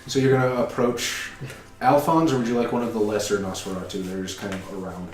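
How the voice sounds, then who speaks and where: A man narrating as game master of a tabletop game, briskly prompting each player in turn. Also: fairly low pitch, steady tone. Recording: echoey room, far from the mic, faint music.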